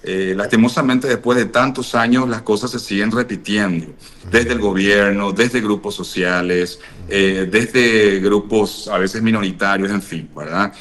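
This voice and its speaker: A man from Paraguay, a podcaster guest speaking over a call, measured and thoughtful, repeating words as he searches. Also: lowish voice, steady tone, fairly bright tone. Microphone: video or phone call.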